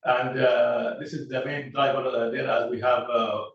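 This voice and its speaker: Man, company executive, earnings call delivery, hesitant and measured, frequent filler pauses, heavy room echo, off-mic and distant. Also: mid-range voice, level tone. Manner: halting, measured explanation of figures. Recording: echoey, distant, muffled conference call audio.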